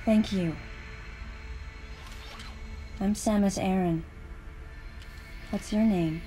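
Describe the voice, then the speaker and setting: An actress playing a female bounty hunter in a video game cutscene, narrating her thoughts in a quiet, reflective inner monologue. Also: medium pitch, steady tone, very slow. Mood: earnest. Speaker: narrator and protagonist. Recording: game audio with music underneath.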